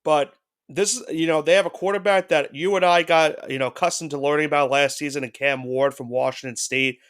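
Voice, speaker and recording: A man's voice: on the high side, steady tone, brisk, fairly bright tone, clear, resonant tone. A man co-hosting a sports podcast with a head cold, talking casually and loosely, with frequent restarts. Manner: rambling, with restarts and hedges. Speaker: podcast co-host.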